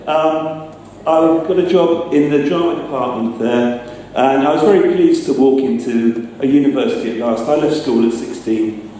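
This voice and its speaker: A middle-aged man giving an informal talk, speaking hesitantly with pauses mid-phrase. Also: medium pitch, mellow. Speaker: sound designer giving a talk. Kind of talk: informal public talk with slides. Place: large echoey room, speaking to an audience. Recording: distant, very echoey room with some noise.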